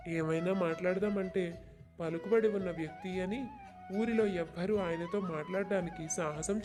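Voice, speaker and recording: High-pitched man acting a wronged, polite neighbour. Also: natural voice. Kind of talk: dramatized moral story narration. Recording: clear music behind the voice. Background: music.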